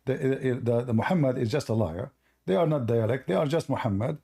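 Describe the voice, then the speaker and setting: A man whose first language is Arabic, hosting a live call-in debate and arguing forcefully while reading from sources. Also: mid-range voice, animated, fairly quick, creaky, husky. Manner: forceful, argumentative, repeats himself. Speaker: host of a call-in debate stream.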